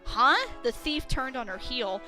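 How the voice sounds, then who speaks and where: A woman reading a scripted story as narrator, with an expressive, dramatic storytelling delivery. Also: a little high, moderate pace, faint music behind.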